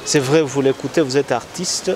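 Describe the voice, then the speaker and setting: A man's voice: medium pitch, fairly even intonation, normal speed, darkish tone, a little hiss or hum. A man hosting an interview, asking probing questions with many fillers. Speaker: interviewer, host.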